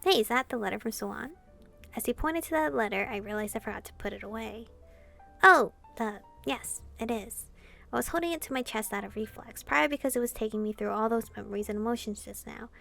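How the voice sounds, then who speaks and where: A woman's voice: on the high side, a little fast, creaky, faint music behind. A woman reading a visual novel aloud expressively and commenting casually as she plays. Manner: animated reading, reacting informally to the story.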